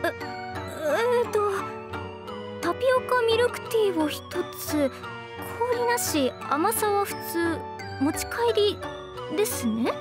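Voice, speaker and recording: A woman's voice: very high-pitched, animated, slow pace, full character voice. A woman playing a nervous, polite young shop clerk in an anime comedy, cute, childlike and flustered. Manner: flustered, stammering, formal service speech. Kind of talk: Japanese anime comedy voice acting. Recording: bouncy music behind the voice.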